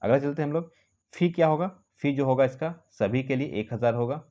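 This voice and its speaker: A man talks quickly in a medium-pitched voice, walking listeners through written details as an informal channel host. He repeats phrases and often adds little check-in tags. The recording sounds dull and muffled. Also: mellow. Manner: repetitive, with frequent check-in tags. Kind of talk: informal explainer, reading a notice aloud and commenting.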